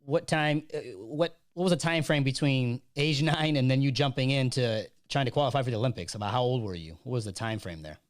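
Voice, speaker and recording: A man's voice: medium pitch, a little fast, hardly any pauses. A man hosting an interview, introducing his guest and asking encouraging follow-up questions. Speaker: interviewer, host.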